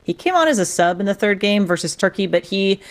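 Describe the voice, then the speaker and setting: A woman's voice: medium pitch, sing-song, a little fast. A woman reporting on soccer in a radio interview, explaining in a conversational way. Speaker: sports reporter.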